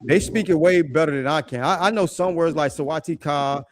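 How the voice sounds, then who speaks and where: A middle-aged man with a naturally deep, raspy voice, chatting casually as a guest, laid-back and slangy with repeated phrases. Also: brisk. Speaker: guest living abroad. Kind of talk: casual chat.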